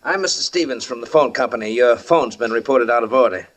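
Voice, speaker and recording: A man's voice: medium pitch, fast, far from the mic, close to an everyday voice. A businesslike phone repairman, acted by a man in old radio drama. He is polite and practical, a little away from the mic on a noisy vintage recording. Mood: businesslike, puzzled. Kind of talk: 1940s radio drama acting.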